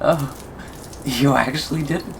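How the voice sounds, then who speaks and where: A man acting an obsessive, sadistically tender lover in a scripted ASMR roleplay, taunting with breathy chuckles. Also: fairly high pitch, noisy background. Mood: thrilled, taunting.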